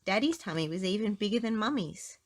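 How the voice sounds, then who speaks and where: A woman reading a storybook aloud to children in an expressive narrating style. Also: mid-range voice, a little fast. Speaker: storybook reader.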